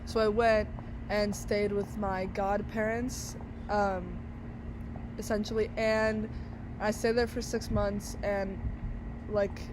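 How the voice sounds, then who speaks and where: A woman's voice: medium pitch, little pitch movement, takes it slowly. Young woman from brazil rambling casually outside, traffic noise behind her. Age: young adult. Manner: chatty, many fillers and restarts. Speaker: interviewee. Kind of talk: casual interview outdoors. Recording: outdoor street noise.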